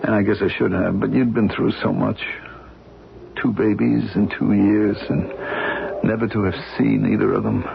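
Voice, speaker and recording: An actor playing a gentle grieving husband who speaks in a quiet, halting way, in a 1970s radio drama on a dull, muffled old recording. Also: male voice, on the low side, little pitch movement, vocal fry, noisy background.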